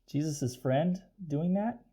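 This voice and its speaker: A man narrates a children's storybook with animated pitch and an unhurried pace. He explains as he goes and puts questions to his young listeners in a mellow tone. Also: breathy edge. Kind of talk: expressive children's read-aloud with asides.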